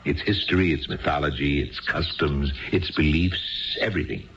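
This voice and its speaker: A very deep male voice on a dull old radio drama recording, a stuffy bookish husband. He is coldly impatient and pedantic, spelling things out with condescending sarcasm. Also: moderate pace, fairly bright tone. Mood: lofty, lecturing. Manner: pedantic, sarcastic, clipped precise wording. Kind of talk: 1970s radio drama acting.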